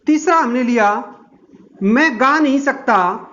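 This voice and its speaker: Slow-dictating male grammar teacher. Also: very high-pitched, a bit bright. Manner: slow, dictating word by word, asking and answering own questions. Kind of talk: grammar lesson, dictating examples. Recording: dull lo-fi sound.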